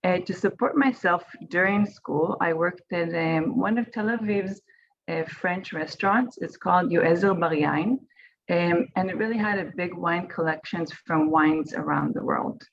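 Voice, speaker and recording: Israeli-accented woman, native Hebrew speaker, low voice; eager panelist over a dull video call line. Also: unhurried, slight background noise. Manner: friendly, eager, with small restarts. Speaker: winemaker panelist. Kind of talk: personal story on a webinar panel. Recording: lo-fi webinar audio.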